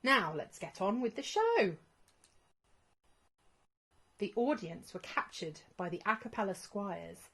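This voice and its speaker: A woman narrates a rhyming children's storybook. Her mid-pitched voice is expressive and very animated, rising and falling with each line in a measured storytelling style. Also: breathy edge.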